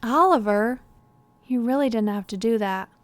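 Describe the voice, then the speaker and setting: A woman's voice: fairly high pitch, moderate pace, dry recording, close to an everyday voice. An actress playing a skeptical, stubborn policewoman in an audio drama, blunt and questioning. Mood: guarded, softening. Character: guarded, sharp-tongued policewoman, skeptical and stubborn.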